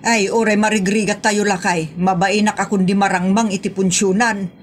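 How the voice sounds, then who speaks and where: A woman's voice: mid-range voice, fairly even intonation, normal speed, a little hiss or hum, close to an everyday voice. A woman with an Ilocano accent playing an exasperated, easily embarrassed wife and mother who scolds in a comedy audio drama. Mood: complaining, worried.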